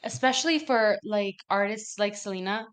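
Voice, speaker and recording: A woman's voice: medium pitch, moderate pace, clear, resonant tone. A woman co-hosting a podcast in casual chat, agreeing and adding remarks with many "like"s. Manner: agreeing, lots of "like". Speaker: podcast co-host.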